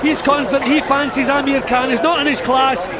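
High-voiced boxing pundit over crowd noise. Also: male voice, steady tone, fairly quick. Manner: analytical, explains the boxers' tactics. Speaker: co-commentator. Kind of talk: expert boxing analysis. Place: arena ringside. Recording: broadcast with loud crowd noise. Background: loud arena crowd.

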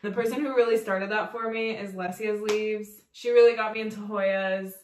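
A woman's voice: medium pitch, steady tone, moderate pace, a little hiss or hum. A young woman from Mexico chatting casually about plants in a bedroom, filmed by a camera across the room. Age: young adult. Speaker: plant vlogger. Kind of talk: casual chat. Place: bedroom, seated on bed. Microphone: far camera. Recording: roomy echo, distant from mic.

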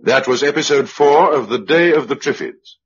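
A man's voice: medium pitch, moderate pace, slightly rough. A man with a British accent reading scripted credits as a formal radio announcer. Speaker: continuity announcer. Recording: dull old radio broadcast recording. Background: music.

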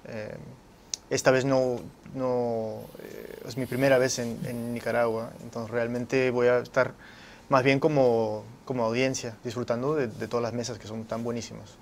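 A man's voice: mid-range voice, flat delivery, normal speed, a little hiss or hum. A young man, a guest in a TV studio interview, answering casually and repeating words as he searches for them. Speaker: interview guest, radio director. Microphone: lapel.

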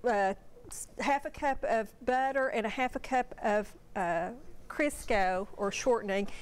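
A woman's voice: on the high side, a little slow, clear, resonant tone. An older woman, a guest cook, walking through a recipe step by step in a homey way in a TV studio kitchen. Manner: homey, walks through steps with fillers. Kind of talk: cooking demonstration.

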